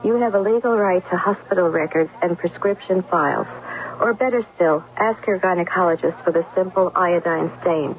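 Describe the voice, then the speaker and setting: A woman's voice: fairly low pitch, normal speed. A woman reading a scripted 1970s radio health announcement in a serious, direct, informative manner. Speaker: public service announcer. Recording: tinny vintage radio broadcast.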